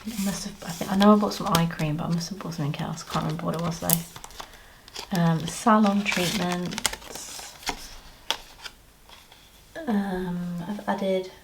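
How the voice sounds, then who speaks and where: Croaky British woman counting cash slowly. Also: lowish voice, fairly even intonation, noisy background. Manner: counting money aloud, chatty asides. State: croaky voice, clearing throat. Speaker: budgeting vlogger. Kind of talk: casual cash-stuffing commentary.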